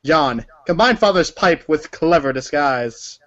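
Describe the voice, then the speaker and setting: A man's voice: fairly high pitch, expressive, measured pace, fairly bright tone, muffled recording. A man narrating a story aloud in a theatrical, dramatic and emphatic manner. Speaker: narrator of a group reading.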